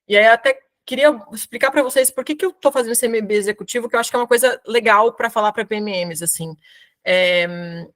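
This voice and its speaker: A woman in her thirties with a São Paulo accent speaks at a medium pitch. She gives a relaxed, informal webinar talk, full of slang and hesitant fillers. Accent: São Paulo Portuguese. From São Paulo, Brazil. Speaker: guest speaker presenting to a professional community. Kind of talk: casual live webinar talk.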